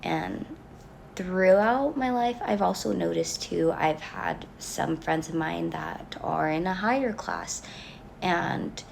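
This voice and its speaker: A young woman, a college student, reading her class project reflection in an earnest, halting way with pauses. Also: deep voice, creaky, a little hiss or hum. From Mexican family background. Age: young adult.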